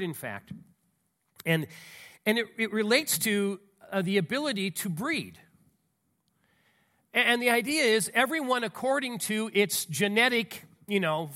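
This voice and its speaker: A high, bright-voiced man gives a sermon with a stuffy nose from a cold. He talks in a folksy, conversational way, full of asides and restarts. He reads scripture aloud with rising emphasis. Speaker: pastor.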